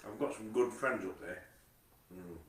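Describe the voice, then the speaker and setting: A man's voice: lowish voice, a little slow, roomy sound, far from the mic. A man with a Nottingham accent, rambling in a monologue to camera, swearing constantly, repeating himself and stumbling over his words. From England. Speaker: vlogger.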